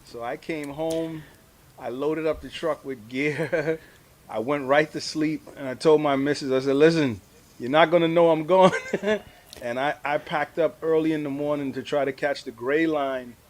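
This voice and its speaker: A man's voice: fairly high pitch, steady tone, moderate pace. A middle-aged or older man, a radio hobbyist guest, telling his story in a casual, colloquial way. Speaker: amateur radio operator, award-winning guest. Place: live video stream.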